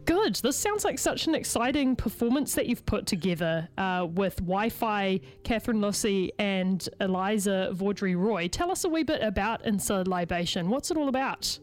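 A woman's voice: medium pitch, animated, moderate pace, hardly any pauses. A woman with a New Zealand accent interviewing conversationally on radio, chatty and rephrasing her questions as she goes.

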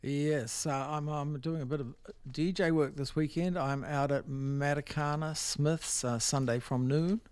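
A man's voice: on the high side, steady tone, unhurried, hardly any pauses, darkish tone, smooth, no echo. A man with a New Zealand accent hosting a music radio show, chatting casually in a rambling, hesitant, laid-back way. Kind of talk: casual unscripted radio DJ chat.

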